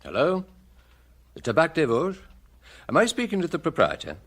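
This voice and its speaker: A British actor playing a brisk, wry, dogged chief inspector with a husky voice in a radio drama. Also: male voice, a little high, huge pitch swings, a bit bright, full character voice. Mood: urgent, questioning.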